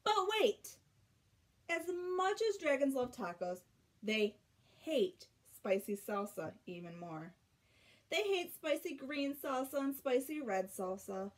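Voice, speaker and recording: A young woman with a fairly high voice reads a picture book to children. She is expressive, stretching key words and pausing for dramatic effect. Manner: expressive, drawn-out emphasis with dramatic pauses. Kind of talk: animated children's picture-book read-aloud. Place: small bedroom at home.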